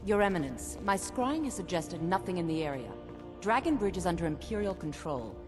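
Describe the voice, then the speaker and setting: Low, haughty court wizard. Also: female voice, sing-song, fairly quick, music in the background. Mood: dismissive.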